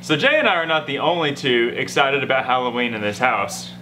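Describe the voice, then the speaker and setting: A man's voice: mid-range voice, animated, a little fast, pushed and bright. A young man co-hosting a casual fishing vlog, talking to camera with playful teasing and trash talk, outdoors by a lake and then indoors at home. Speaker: fishing vlogger, co-host. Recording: noisy camera audio.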